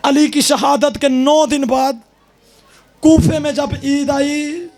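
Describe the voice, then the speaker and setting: A man giving a mourning recitation at a gathering, half-singing and shouting his lament. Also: very high voice, flat delivery, slow. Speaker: majlis reciter.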